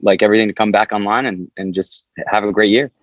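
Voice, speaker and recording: A man's voice: fairly low pitch, fairly even intonation, fast talker, dry recording, dull audio. A man being interviewed as a band member, talking casually in an informal, loose storytelling way. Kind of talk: casual chat.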